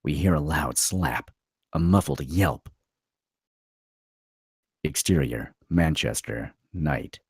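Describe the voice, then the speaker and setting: A man narrating a screenplay at a table read, with a gravelly, husky voice. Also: very deep voice, expressive, slow pace, pauses often, no room sound, clean studio sound.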